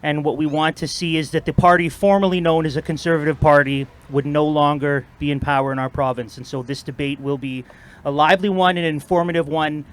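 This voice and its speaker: A man's voice: a little high, fairly even intonation, fairly quick. A man moderating an informal outdoor debate, giving upbeat, rallying opening remarks to a crowd.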